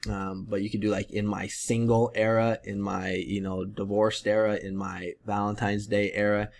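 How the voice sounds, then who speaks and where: Man in his thirties, low voice, gravelly fry, losing his voice, loose rambling talk full of ums, webcam at home. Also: moderate pace. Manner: relaxed, rambling, many fillers. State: losing his voice, clearing his throat. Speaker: print-on-demand seller and tutorial creator. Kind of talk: casual screen-share tutorial.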